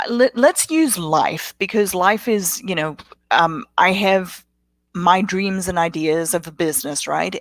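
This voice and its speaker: A woman's voice: lowish voice, dry recording, studio quality. Motivational middle-aged woman guest. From South Africa. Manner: motivational, reflective. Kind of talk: casual interview answers.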